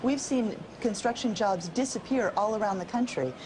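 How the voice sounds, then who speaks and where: A woman's voice: medium pitch, moderate pace, noisy background. A woman in her thirties, a remote TV guest, explaining economic policy fluently and upbeat. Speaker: think-tank economist guest. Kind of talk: live TV interview. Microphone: remote studio camera.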